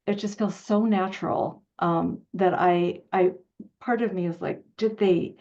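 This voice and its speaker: A woman's voice: on the low side, fairly even intonation, darkish tone, muffled recording. A woman interviewing in an effusive, admiring way, feeling out her questions as she talks. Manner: effusive, admiring, halting delivery. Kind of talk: enthusiastic interview conversation.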